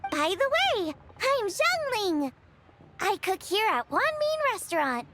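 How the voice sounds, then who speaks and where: A woman's voice: very high voice, sing-song, slow pace, heavy background noise, full character voice. A woman voicing a friendly, earnest young girl cook in a video game, youthful and informal. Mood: welcoming. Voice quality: youthful, girlish.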